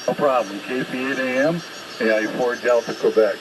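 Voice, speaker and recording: A man with a medium-pitched voice comes through a shortwave ham radio signal thick with noise. He lectures like a seasoned operator in a dispute, blunt and confrontational in his delivery. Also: normal speed. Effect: shortwave single-sideband radio transmission. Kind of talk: unscripted argument over ham radio. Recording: very noisy ham radio reception.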